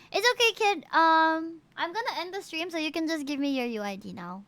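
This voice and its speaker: A woman live-streaming a game, chatting casually with viewers and reading out stats in an animated way, with frequent exclamations and asides. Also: very high voice, unhurried, no room sound. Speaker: live streamer reviewing players' game characters.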